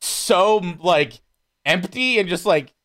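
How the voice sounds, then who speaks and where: A man's voice: high-pitched, expressive, slow pace, a bit bright. A man guesting on a podcast, chatting casually with a wry, sarcastic streak. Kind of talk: casual comedic chat.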